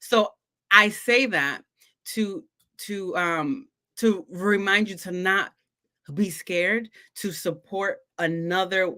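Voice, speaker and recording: A woman coach who stutters a lot on first sounds, talking in a relaxed podcast chat. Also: mid-range voice, slow pace. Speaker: confidence coach and guest.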